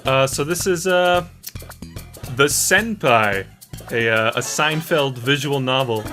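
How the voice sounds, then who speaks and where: A man's voice: medium pitch, expressive, takes it slowly, bright, forceful tone. A man presenting and narrating a visual novel as a player, moving between casual commentary and scripted narration. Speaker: video game player and narrator. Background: music.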